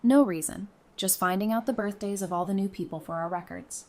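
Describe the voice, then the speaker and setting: A woman's voice: medium pitch, brisk, close to an everyday voice. A woman as a playful, teasing young survivor.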